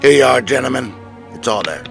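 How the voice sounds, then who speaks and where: A man playing a tough, loyal gangster's right-hand man in an audio drama, curt and forceful. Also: mid-range voice, lively intonation, a little fast, vocal fry. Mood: curt, assured. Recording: music behind the voice.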